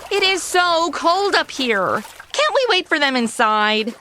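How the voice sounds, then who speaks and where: A woman's voice: high voice, sing-song, unhurried, sharp tone, a little hiss or hum, exaggerated voice. A woman playing a chatty, oblivious, sassy modern young woman in a comedy audio drama, with flippant, slangy delivery. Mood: whiny, indignant.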